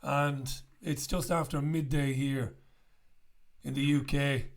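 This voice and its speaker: Croaky gravelly man chatting to camera. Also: medium pitch, little pitch movement, slow pace. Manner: chatty, blunt, swears freely. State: croaky after illness. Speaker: host. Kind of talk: casual direct-to-camera chat. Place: small bright room indoors. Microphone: studio mic on stand in front.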